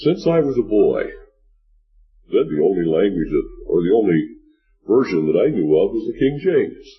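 An older man preaching a sermon in a measured, earnest way, stressing words slowly. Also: low-pitched. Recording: dull lo-fi recording with faint music.

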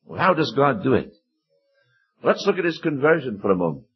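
A man's voice: mid-range voice, very animated, a little fast, husky. A man preaching an earnest expository sermon, with emphatic rises on key phrases. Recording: dull lo-fi recording.

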